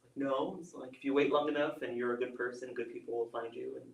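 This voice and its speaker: A man's voice: mid-range voice, steady tone, fast, gravelly. A man chatting casually as a co-host, responding to a story with his own reflections. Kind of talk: casual chat. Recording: echoey room, distant mic.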